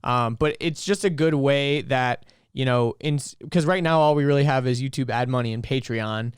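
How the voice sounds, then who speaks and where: A man's voice: medium pitch, normal speed, smooth, dry recording, studio quality. A young man co-hosting a podcast, chatting casually and rambling with lots of fillers. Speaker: podcast co-host. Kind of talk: casual chat.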